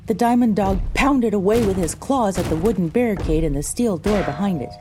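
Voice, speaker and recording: A woman's voice: mid-range voice, a little fast, dark tone, faint music behind, close to an everyday voice. A woman voicing a pony who narrates her own story, reading scripted first-person audio drama narration. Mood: reflective.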